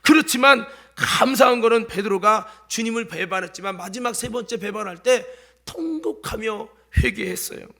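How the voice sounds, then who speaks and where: A male pastor with a light Chungcheong accent, preaching a sermon in church. He swings between loud exhortation and hushed asides and keeps quizzing the congregation. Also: high-pitched, animated, moderate pace, creaky. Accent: light Chungcheong Korean.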